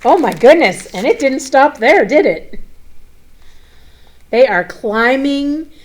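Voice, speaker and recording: A woman reading a picture book aloud to children, expressive, with chatty asides, questions and simple explanations. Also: high voice, takes it slowly.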